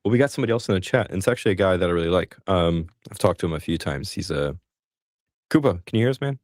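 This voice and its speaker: A man's voice: low voice, animated, very fast, darkish tone, dry recording, clean studio sound. A man hosting a live call-in stream, chatting casually and joking about himself. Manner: chatty, self-deprecating, joking. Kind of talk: casual chat.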